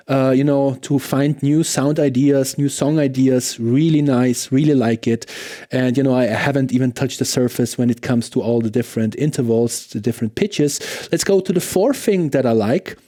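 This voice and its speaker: A man's voice: mid-range voice, mellow. A man giving a casual, conversational product review as a gear demonstrator, enthusiastic and often checking in with the listener.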